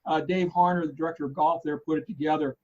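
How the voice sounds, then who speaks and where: A man co-hosting a golf news show in casual chat, hoarse and losing his voice, rambling with many fillers and restarts. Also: a little high, steady tone, quick pace, a little mellow, dull audio.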